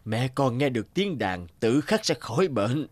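A man's voice: medium pitch, big pitch swings, normal speed, over music, close to an everyday voice. A man voicing a wise, benevolent old god in a fairy-tale cartoon, solemn and stately. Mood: solemn, kindly.

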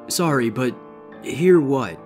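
Man voicing a high-pitched easygoing young pony. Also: expressive, takes it slowly, darkish tone, soft background music. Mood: puzzled, concerned. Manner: casual, questioning. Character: young male pony, easygoing concerned roommate. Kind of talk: fan fiction audiobook character voice.

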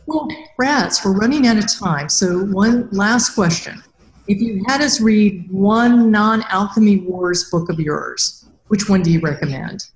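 A woman in her fifties hosting a podcast interview over a video call, asking measured questions in a soft voice. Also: medium pitch. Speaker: podcast host. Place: plain room, indoors. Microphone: webcam mic on video call. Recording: roomy video call audio.

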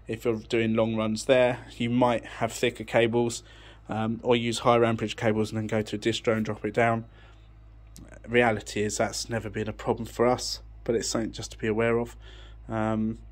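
A man giving an informal technical explanation in a matter-of-fact, unscripted way, thinking as he goes. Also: medium pitch. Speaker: audio technician presenting a tech channel. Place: indoors, beside an amplifier rack.

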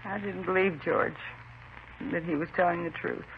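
An actress playing a distraught, unstable housewife, fretful and fixated, in a 1950s radio drama. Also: female voice, lowish voice, steady tone, normal speed, gravelly. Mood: resigned, dazed. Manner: fretful, fixated, repeats herself. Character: distraught, mentally unstable housewife confessing to killing her husband. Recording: muffled, noisy 1950s radio recording.

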